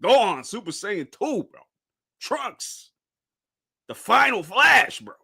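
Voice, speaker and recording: Animated thirty-something man chatting about anime. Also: high voice, slow pace, vocal fry, slightly rough. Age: 30s. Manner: rambling, restarts, says "bro". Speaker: podcast host. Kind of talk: casual anime discussion.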